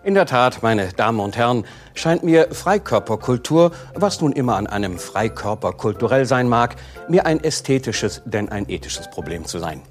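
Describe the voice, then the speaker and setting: A man's voice: medium pitch, sing-song, moderate pace, soft background music. A man giving a mock-formal address in ornate, tongue-in-cheek phrasing.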